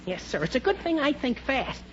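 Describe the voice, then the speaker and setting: A man's voice: very high voice, very animated, a little fast. A man playing a hapless, naive teenage boy in a 1940s radio comedy, stammering, pleading and exclaiming. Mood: relieved, pleased. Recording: muffled, noisy 1940s radio recording.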